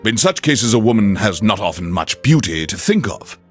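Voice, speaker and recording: A man's voice: fairly low pitch, sing-song, moderate pace, faint music behind. A man voicing a dry, teasingly sarcastic middle-aged husband in an understated storybook reading. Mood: teasing, indifferent. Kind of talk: storybook reading in a game radio show.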